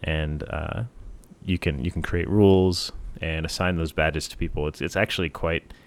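Very deep-voiced man chatting casually. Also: normal speed. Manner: relaxed, many uh fillers and restarts. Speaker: podcast co-host, software team member. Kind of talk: casual tech podcast chat.

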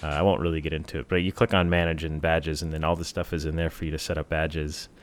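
A man co-hosting a casual tech podcast, talking in a relaxed way with many fillers and restarts. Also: extremely low pitch, fast talker. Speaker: podcast co-host, software team member.

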